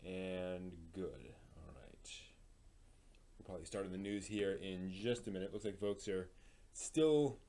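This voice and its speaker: A man hosting a live stream, reading entertainment news in an informal, somewhat halting way with casual asides. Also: low voice, dark tone. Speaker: live stream host presenting anime news.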